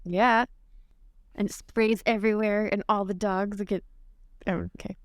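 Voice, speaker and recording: A woman's voice: medium pitch, steady tone, unhurried, no room sound, clean studio sound. A woman bantering casually as a player in a tabletop roleplaying game.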